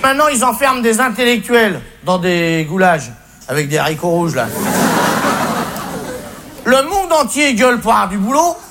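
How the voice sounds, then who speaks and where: High pitched guy doing sweary faux-dumb standup rant, noisy live recording, big crowd. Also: big pitch swings, moderate pace, pauses often. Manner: colloquial, swearing, faux-naive ranting. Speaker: stand-up comedian. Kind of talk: comic stage monologue. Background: audience laughter.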